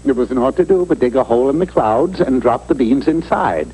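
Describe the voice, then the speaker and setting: A man's voice: mid-range voice, lively intonation, fairly quick, mellow, husky. A man playing a wry, urbane storybook narrator, delivering comic scripted narration in a mock-serious manner. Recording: faintly noisy old TV broadcast tape.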